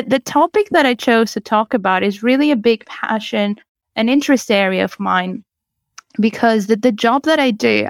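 Young woman guest, Greek and Italian native speaker, smooth clear voice, dry sound, enthusiastic. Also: medium pitch, dry recording. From Greece and Italy. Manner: enthusiastic, animated, occasional laughs and restarts. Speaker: guest, change consultant. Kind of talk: podcast interview.

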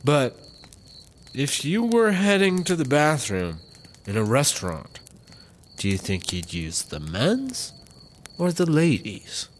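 A man's voice: medium pitch, wildly swooping pitch, takes it slowly, long pauses, a little hiss or hum. A man playing a scheming, blustering American ex-cop in a comedic audio drama, with an American accent. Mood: casual, teasing.

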